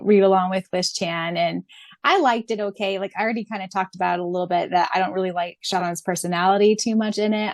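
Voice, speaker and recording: A woman co-hosting a fan podcast in an informal, expressive, giggly way. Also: mid-range voice, no room sound. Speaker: podcast co-host. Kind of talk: casual fan podcast chat.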